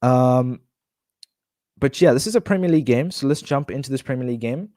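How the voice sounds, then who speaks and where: Chatty streamer with a blocked nose. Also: male voice, medium pitch, brisk, mellow, no room sound, clean studio sound. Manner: chatty, rambling, many restarts and fillers. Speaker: gaming streamer. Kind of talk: live stream commentary on a football manager game.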